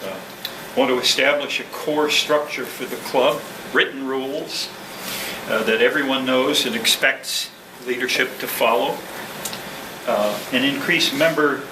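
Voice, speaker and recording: Guy chairing a club meeting, mid pitch, stop-start formal delivery, far from the mic with room noise. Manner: halting, measured, formal. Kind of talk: prepared address to a club meeting. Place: indoor meeting room with ventilation hum. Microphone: distant room microphone. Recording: distant mic, echoey room, clear background noise.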